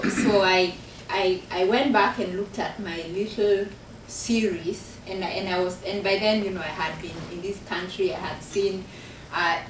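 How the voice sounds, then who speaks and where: A woman's voice: medium pitch, far from the mic, noisy background. A woman from Kenya with a Kenyan accent, an author and professor talking earnestly about her book to an audience in a university function room. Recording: echoey room recorded from afar.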